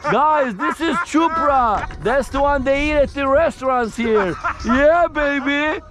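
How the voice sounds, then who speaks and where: A middle-aged man hosting a fishing vlog from a small boat at sea, cheering loudly over each fish he catches. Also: extremely high pitch, slow pace. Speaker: fishing vlogger host. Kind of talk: casual fishing vlog commentary. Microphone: action camera on the boat. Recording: outdoor wind with music bed.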